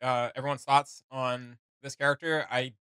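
A young man with a bright, forceful voice that sits a little high. He chats casually as a reaction video host, recorded dry and close in a small room. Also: level tone, moderate pace, clean tone, dry recording. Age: young adult. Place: small indoor room with gaming setup.

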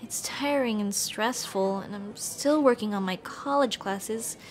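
A woman's voice: medium pitch, measured pace, close to an everyday voice. A young woman playing an affectionate, playfully bossy café-owner girlfriend in an ASMR roleplay, speaking expressively to the listener as her partner. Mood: weary, earnest. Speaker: roleplay voice actor.

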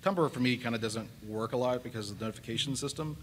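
A man with a mid-pitched voice talks casually on a panel. Faint noise from the convention room sits around his voice. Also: fast, a bit bright. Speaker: panelist, musician and sound worker. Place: large convention meeting room, panel table.

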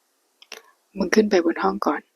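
A woman's voice: takes it slowly, close to an everyday voice, poor audio. A woman voicing a crude, hot-tempered young man in a whispered story reading. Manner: blunt and curt, hushed.

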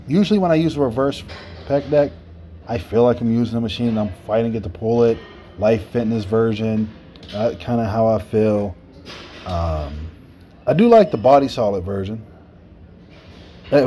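Casual guy vlogging on his phone, mid-pitched, kinda rambly, lil bit of noise behind. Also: animated, moderate pace, warm tone. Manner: rambling, self-correcting explanations. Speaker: fitness vlogger. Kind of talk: casual gym vlog talk. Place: gym indoors, then outdoors by trees. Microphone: phone camera propped up, then held at arm's length. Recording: faint background noise.

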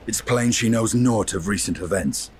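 An actor voicing a grim, proud elf dragoon in a video game cutscene, speaking in stern, formal, measured declamation. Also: male voice, fairly low pitch, a little mellow, a little hiss or hum, natural voice. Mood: curt.

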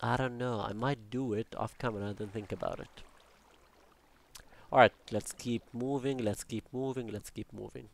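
A man's voice: medium pitch, steady tone, normal speed, creaky. A young man with an Arabic accent, casually commenting while building in a game, rambling with jokey asides and talking to himself. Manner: chatty, rambling, jokey asides and self-talk. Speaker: Minecraft building channel host.

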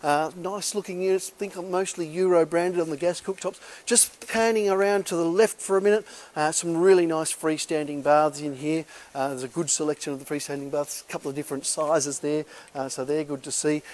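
A man's voice: on the high side, a little mellow. A middle-aged man, walking through a large warehouse and giving a chatty, upbeat auction preview full of "uh" fillers. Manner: chatty and upbeat, many "uh" fillers, calls listeners folks. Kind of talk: casual walk-and-talk sales tour. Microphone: handheld camera while walking.

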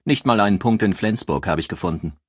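A man's voice: on the low side, quick pace, husky, dry recording, clean studio sound, voice pushed up. A man voicing a matter-of-fact male police researcher reporting drily in a crime audiobook. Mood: dry, reporting.

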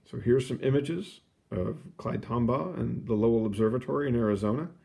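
A man reading a children's book aloud in an expressive, explanatory way, with casual asides. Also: medium pitch, brisk, vocal fry. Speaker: picture book reader.

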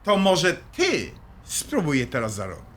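A man's voice: fairly high pitch, wildly swooping pitch, measured pace, a bit bright, full character voice. An actor playing a stern, middle-aged father in an audio drama, blunt, commanding and dismissive. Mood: stern, irritated.

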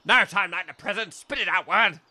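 A man's voice: high-pitched, a little fast, pushed and bright, heavily acted. A man voicing an absent-minded, blustering old aristocrat with an upper-class English accent in a comic audio drama. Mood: hearty. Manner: exclamatory, blustering.

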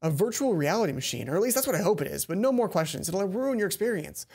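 A man reading the lines of an excited young male student inventor from a visual novel, fast and earnest. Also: high voice, husky, full character voice. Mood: eager.

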